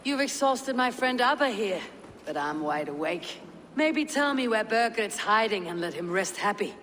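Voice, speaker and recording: An actress playing a tough, shrewd Viking raider in a video game, with a dry, measured, taunting manner. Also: female voice, medium pitch, lively intonation, fairly bright tone, lightly acted. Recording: long reverb, faint noise.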